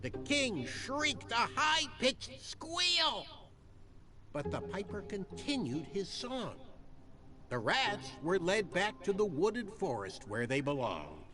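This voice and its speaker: An actor playing a theatrical storybook narrator, telling a dark fable in a deliberate, dramatic way. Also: male voice, high voice, wildly swooping pitch, full character voice. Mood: ominous storytelling. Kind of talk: theatrical scripted fairy-tale narration.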